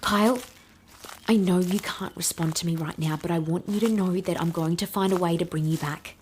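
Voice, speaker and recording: Woman acting Vanessa, an earnest, lower-voiced team leader. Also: brisk, darkish tone, a little hiss or hum, lightly acted. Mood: tender, determined. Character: Vanessa, brilliant, guilt-ridden woman leading the team, determined. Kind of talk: audio fiction acting.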